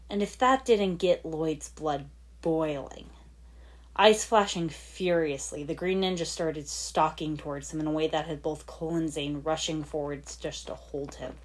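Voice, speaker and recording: Lady narrating fanfic like an audiobook, slightly low voice, dramatic read. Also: darkish tone. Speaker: fan fiction podfic reader. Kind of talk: dramatic scripted story narration.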